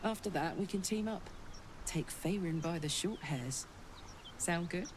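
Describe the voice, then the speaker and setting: A woman's voice: lowish voice, unhurried, close to an everyday voice. A woman playing a brash, battle-hardened warrior on the run from devils in a video game, with a blunt, cocky, sweary manner. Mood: fired up. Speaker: video game companion character. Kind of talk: dramatic video game voice acting. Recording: game audio with clear background noise.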